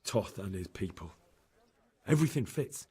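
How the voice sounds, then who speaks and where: A man's voice: lowish voice, expressive, normal speed, dark tone, husky. An actor playing an earnest young man in a video game cutscene, a captive turned messenger. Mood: earnest, grave.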